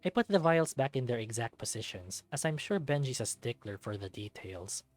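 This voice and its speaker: Male narrator for a visual novel, middle-pitched, scripted story read, music underneath. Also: sing-song, moderate pace.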